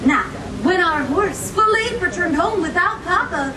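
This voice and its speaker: Woman playing Belle, a very high-voiced sweet princess. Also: animated, unhurried. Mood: animated storytelling. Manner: theatrical, encouraging, asks the audience questions. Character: Belle, sweet gracious young storybook princess. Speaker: theme park storytelling performer. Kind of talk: live interactive storytelling for children. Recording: distant, echoey, noisy amateur recording among crowd. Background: audience crowd noise.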